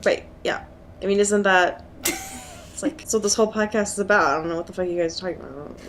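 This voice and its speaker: A woman's voice: mid-range voice, fast talker, a little hiss or hum, rough recording. A young woman co-hosting a podcast, joking and giggling through a casual, irreverent book discussion. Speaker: podcast co-host.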